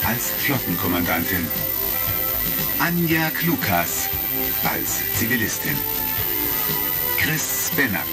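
A man delivering scripted, dramatic narration for a retro audio drama. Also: sits low. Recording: vintage record sound, loud music behind. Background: loud music.

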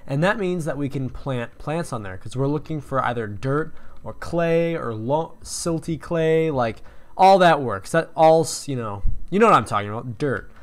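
A man's voice: a little high, expressive, moderate pace. A young man casually walking through a game tutorial, explaining step by step with fillers and restarts. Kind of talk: screen-recorded game tutorial.

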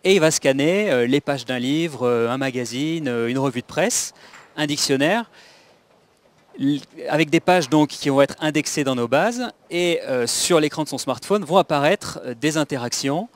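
A man's voice: fairly high pitch, normal speed. A man in his thirties from Paris, earnestly explaining his startup product with many hesitations, on a handheld mic. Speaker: startup founder guest.

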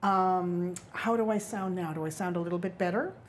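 A woman's voice: lowish voice, moderate pace, a little hiss or hum. A woman co-hosting a live fashion sales stream with casual, conversational talk. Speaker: off-camera co-host of a fashion shopping livestream. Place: indoor studio, behind the camera.

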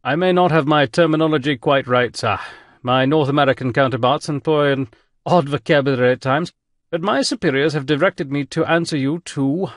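A man voicing a genteel British admiral with a distinguished English accent and deadpan wit. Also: on the high side, fairly quick, dry recording, clean studio sound, voice pushed up, natural voice. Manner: deadpan, gentlemanly, cheerful.

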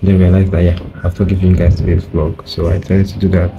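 A young man, talking softly and rambling in a casual vlog diary, filming himself outdoors on a phone held at arm's length. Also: little pitch movement, fast talker, lo-fi sound, noisy background. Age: late teens. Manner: soft-spoken, low-key and rambling. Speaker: vlogger. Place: outdoors by a brick wall.

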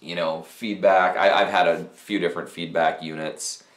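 A man in his thirties with a low, deep voice talks through a piece of gear in a relaxed, technical way, with plenty of fillers and restarts. He sits at a desk in a small office, and the room gives his voice a noticeable echo. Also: normal speed. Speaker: audio gear reviewer. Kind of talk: casual gear review to camera. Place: small cluttered office with speakers and mixers.

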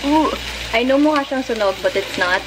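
A woman's voice: high voice, lively intonation, a little fast, background noise. A woman in her twenties with a Filipino accent, chatting informally and playfully in a casual home cooking vlog. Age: 20s. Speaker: vlogger. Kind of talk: casual cooking vlog chat, mixing Tagalog and English. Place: home kitchen and living room, indoors. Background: background music.

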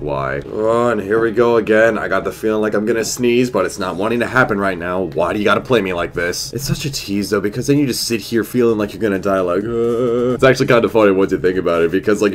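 Young male gaming vlogger with a head cold: nasal, low-pitched, fast and exasperated, recorded on a headset at home. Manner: profane, exasperated rants. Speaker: gaming YouTuber. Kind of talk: casual commentary over gameplay. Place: small bedroom at a desk.

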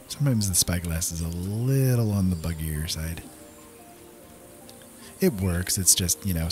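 Male gaming host, low register, casual running commentary over clear background music. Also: a little mellow. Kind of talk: casual let's play commentary. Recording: game music behind voice. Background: game music and outdoor nature sounds.

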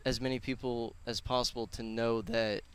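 A man in his twenties speaks in a clear, resonant voice at an average pitch. He answers like a casual podcast guest, earnest and slangy, rambling along into a headset mic outdoors. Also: level tone, moderate pace. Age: 20s. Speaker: podcast guest, personal trainer and gym owner. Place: outdoors on a concrete patio.

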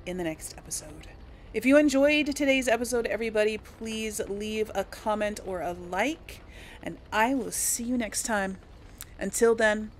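A woman's voice: medium pitch, a little hiss or hum. Casual woman gamer over faint game ambience. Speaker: host of a role-play game series. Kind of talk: casual let's play commentary, role-playing dialogue.

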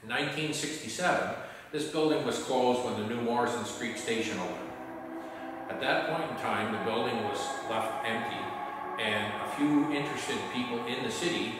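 A man's voice: mid-range voice, normal speed. Man, fifties, conversational, frequent fillers, very echoey hall, music underneath. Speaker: theatre representative. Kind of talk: documentary interview. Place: empty small theatre auditorium.

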